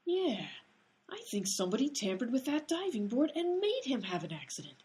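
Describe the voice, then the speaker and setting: Woman as a bossy, lively, high-voiced amateur sleuth. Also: fairly quick. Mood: suspicious. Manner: snappy, sarcastic. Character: bossy, sharp-tongued young female amateur detective. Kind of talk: radio comedy acting.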